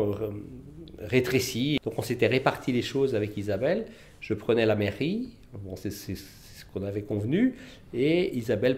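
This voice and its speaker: A man gives interview answers as a village mayor. He explains matters in a measured way, feeling for his words as he goes, with a mid-range voice. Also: medium pitch, darkish tone. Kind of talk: interview answers on local politics.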